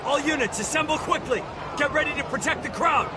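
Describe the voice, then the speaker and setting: A man's voice: extremely high pitch, brisk. A man voicing an urgent guard commander in a video game, barking orders to rally troops. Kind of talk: English video game voice acting. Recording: loud battle noise over shouting.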